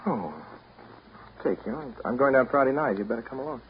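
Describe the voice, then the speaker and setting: An actor playing a reserved, brooding man in a 1930s radio drama, speaking in a measured, reflective way. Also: mid-range voice, close to an everyday voice. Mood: reluctant, tender. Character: reserved, brooding New England man telling family memories. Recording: muffled, hissy 1930s radio recording.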